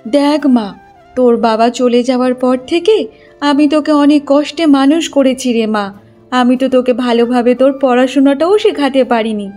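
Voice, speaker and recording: A woman's voice: high-pitched, moderate pace, mellow, soft background music, close to an everyday voice. A woman voicing a poor, hardworking middle-aged village mother in a cartoon story, fussing and self-sacrificing. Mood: sorrowful, self-blaming. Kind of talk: Bengali cartoon story dialogue.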